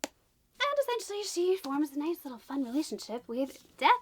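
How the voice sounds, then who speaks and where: A woman hosting a casual book unboxing vlog, a little raspy from being sick, bubbly and gushing, with hushed whispered asides. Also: sits high, big pitch swings, normal speed. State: slightly raspy from illness. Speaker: book channel host.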